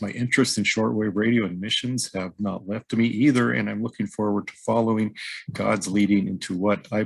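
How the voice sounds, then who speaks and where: Gravelly, husky male podcast host. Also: lowish voice, no room sound, poor audio. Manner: many ums and uhs when unscripted. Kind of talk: scripted intro, then hesitant interview talk.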